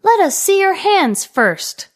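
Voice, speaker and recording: An earnest little goat kid from a storybook, played by a woman. The voice is high, childlike and emphatic, leaping around with excitable energy. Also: slow, exaggerated voice. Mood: defiant. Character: small young goat kids, earnest and excitable.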